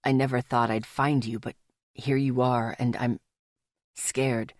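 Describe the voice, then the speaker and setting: A woman voicing a brooding, frightened werewolf man who speaks low and haltingly in an audiobook romance. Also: level tone, no room sound, studio quality. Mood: scared, tender.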